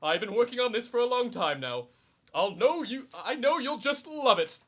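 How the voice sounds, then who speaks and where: A man's voice: extremely high pitch, very animated, normal speed, a bit bright, full character voice. A young man playing a pompous, easily flustered suburban superhero in a comedic radio serial, declaiming grandly. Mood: proud, eager. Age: young adult. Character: pompous, needy, suburban amateur male superhero.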